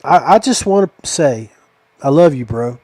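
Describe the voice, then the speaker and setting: A middle-aged or older man with a slightly higher-pitched voice and a relaxed, mellow tone. He hosts a casual podcast chat in a folksy, affectionate, rambling manner. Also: unhurried, no room sound. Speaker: podcast host. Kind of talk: casual chat closing a fishing podcast interview.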